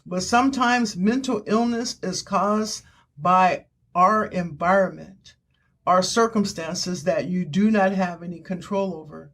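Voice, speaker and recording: A woman's voice: fairly low pitch, measured pace, fairly bright tone. An older woman giving an earnest spoken testimony in short, emphatic, preacherly phrases. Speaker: author giving her testimony.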